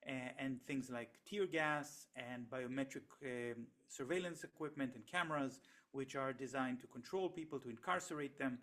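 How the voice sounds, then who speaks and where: A middle-aged man whose first language is Hebrew, a researcher explaining his work in an interview with steady, hesitant pacing and frequent pauses and restarts. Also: medium pitch. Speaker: economist and researcher, interview guest.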